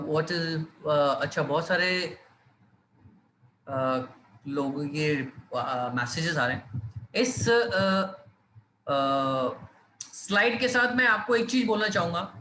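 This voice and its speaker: A man's voice: sits high, sharp tone, reverberant, a little hiss or hum, poor audio. A man giving a persuasive online business presentation, repeating himself, using many fillers and reading out names. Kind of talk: live online sales presentation in Hindi-English mix.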